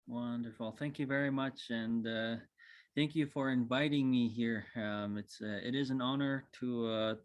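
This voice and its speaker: A man in his twenties from northern Saskatchewan, a cultural coordinator giving a relaxed, humble talk over a video call, with many ums and uhs. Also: mid-range voice, moderate pace. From northern Saskatchewan, Canada. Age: 20s. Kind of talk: casual spoken presentation.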